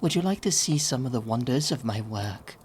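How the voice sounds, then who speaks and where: A man voicing a regal, gentle owl demon prince in an ASMR audio roleplay, speaking softly and theatrically and slipping into whispers. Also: mid-range voice, animated, a little fast, a little hiss or hum, natural voice. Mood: teasing, grand. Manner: soft-spoken, theatrical, drifting into whispers. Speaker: voice actor in fan audio roleplay.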